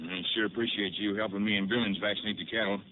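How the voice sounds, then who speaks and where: An actor playing an uneasy rancher brother. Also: male voice, on the low side, steady tone, quick pace, natural voice. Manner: rural drawl, hesitant. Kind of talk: 1950s radio drama acting. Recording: phone-narrow old radio transcription with hiss.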